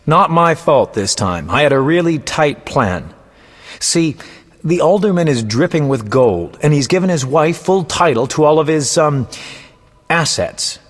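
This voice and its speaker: A man's voice: on the high side, expressive, measured pace, in a large hall. A man playing a vain, roguish poet and bard in a video game, speaking in a theatrical, florid, self-important way. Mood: breezy, sly. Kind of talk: video game voice acting, storytelling.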